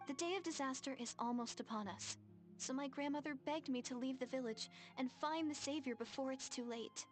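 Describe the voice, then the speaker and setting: A woman's voice: high voice, brisk, faint music behind, lightly acted. An actress playing a devout young village woman in a video game, speaking earnestly and a little hesitantly. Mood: solemn, wistful.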